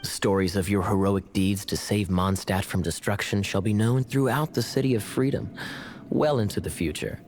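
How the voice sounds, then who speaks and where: An actor voicing a suave, teasing knight in a video game, speaking in a wry, theatrical manner. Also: male voice, on the low side, moderate pace, a little mellow, slight background noise, close to an everyday voice. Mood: playful praise. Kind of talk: video game character dialogue.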